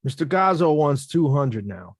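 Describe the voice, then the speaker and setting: A man's voice: on the high side, very animated, normal speed, no room sound, studio quality. A man playing a laid-back, plainspoken boxer who collects debts, in a script table read. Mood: calm, menacing. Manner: blunt, plainspoken, understated.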